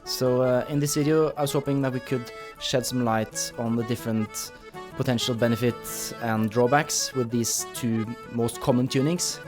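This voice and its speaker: A man's voice: medium pitch, steady tone, moderate pace, few pauses. A man hosting an instructional guitar video in a conversational interview style.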